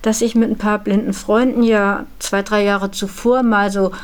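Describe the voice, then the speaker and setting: A woman's voice: medium pitch, level tone, normal speed, clear, resonant tone. A woman telling of her experiences as an organiser in a spontaneous interview. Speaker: event initiator being interviewed.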